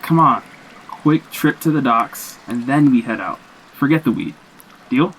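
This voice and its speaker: An actor playing a cocky, dismissive young slacker with casual, slangy speech in a horror audio drama. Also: male voice, mid-range voice, expressive, measured pace, a bit bright, slight background noise, low-quality recording. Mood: coaxing.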